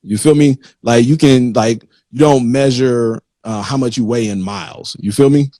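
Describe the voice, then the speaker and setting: A man hosting a casual interview, talking informally with slang in a halting way and often checking that he is followed. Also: mid-range voice, dark tone, no room sound. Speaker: interviewer, magazine host.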